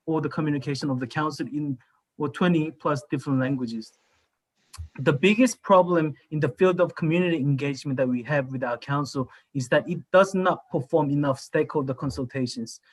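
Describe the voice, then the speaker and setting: A man whose first language is Korean, a council candidate giving a formal, measured statement at a town hall. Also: fairly high pitch. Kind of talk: prepared campaign statement.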